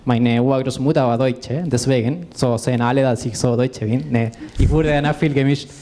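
A man's voice: medium pitch, fairly even intonation, normal speed, dark tone. A man in his thirties from Chile, a native Spanish speaker with a Chilean accent, coaching an audience informally in simple, direct non-native phrasing. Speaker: co-presenter, exercise coach. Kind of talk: informal stage talk with guided exercise. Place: indoor event room with seated audience. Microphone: handheld microphone.

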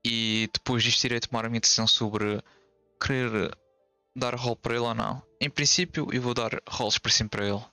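Gravelly casual gamer man. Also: medium pitch, steady tone, fast talker, bright, forceful tone, no room sound. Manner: casual, candid reactions. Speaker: streamer. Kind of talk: let's play commentary. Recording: game music behind.

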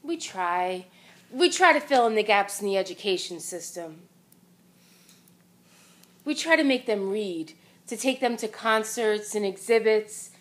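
A woman's voice: medium pitch, expressive, unhurried, pauses often, lightly acted. An actress playing an earnest, moralizing middle-aged mother who loves art and cooking, on a theater stage. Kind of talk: stage play acting.

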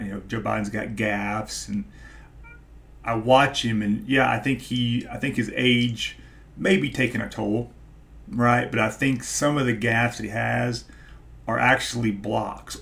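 A man in his forties with a stutter, talking casually to camera in a halting way, searching for his words. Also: medium pitch, creaky, fairly bright tone. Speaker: YouTube host, stock investor. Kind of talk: casual vlog talk to camera. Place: small home office, indoors.

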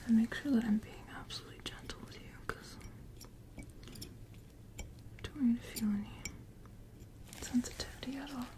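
A woman softly whispering in a breathy, gentle, reassuring way, as a caring dental hygienist in an ASMR roleplay. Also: on the high side. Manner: gentle, reassuring, unhurried personal attention. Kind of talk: soft-spoken ASMR roleplay.

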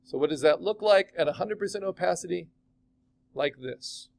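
A man teaching a digital art class, chatting casually and asking his students questions as he demos the software. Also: sits high, moderate pace, vocal fry. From California. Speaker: art instructor.

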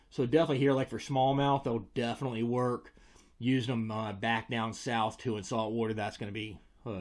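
An American man in his forties with a medium-pitched voice talks casually to camera as a review host. His delivery is informal and conversational. He is recorded indoors in a small room on a desk microphone. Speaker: host, fishing lure reviewer. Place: small indoor room with tackle-covered walls. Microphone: desk microphone beside him.

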